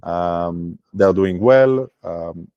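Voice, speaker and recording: Startup founder from Israel, low voice, dry close sound, halting casual delivery. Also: male voice, clean tone, no room sound, highs cut off. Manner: hesitant, many fillers. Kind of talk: casual business video call.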